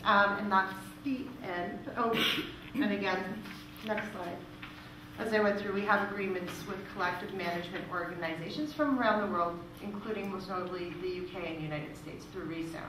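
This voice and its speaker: Female presenter, explaining informally in Q&A; picked up from a distance in a highly reverberant room. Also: moderate pace, few pauses. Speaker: presenter from a music royalties organisation. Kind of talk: presentation with audience questions. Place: indoor presentation room with audience. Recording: echoey room, distant mic, noisy.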